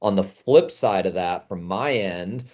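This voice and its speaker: A man's voice: low voice, animated, unhurried, a little mellow, muffled recording. A middle-aged man speaking as a podcast guest, explaining things in an informal, conversational way. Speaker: podcast guest, industry consultant.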